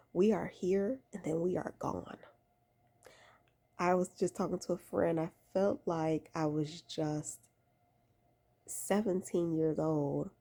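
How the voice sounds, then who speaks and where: Low, husky, gravelly young woman. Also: dark tone. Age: late 20s. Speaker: vlogger giving faith-based lifestyle advice. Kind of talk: casual talk to camera, exhorting.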